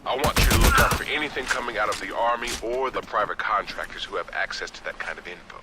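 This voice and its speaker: A man's voice: lowish voice, quick pace, heavy background noise, close to an everyday voice. A man playing an earnest, principled private military contractor, talking casually in an action video game. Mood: worried, confiding. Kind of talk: scripted action game dialogue, casual soldier talk. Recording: very loud music over the voice.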